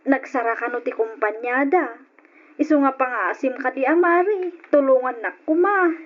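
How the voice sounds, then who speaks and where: High-voiced pleading mother. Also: moderate pace. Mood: pleading, anxious. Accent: Ilocano. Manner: pleading, apologetic. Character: hard-up, pleading mother, meek and self-sacrificing. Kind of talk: old radio drama acting. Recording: muffled lo-fi old radio drama.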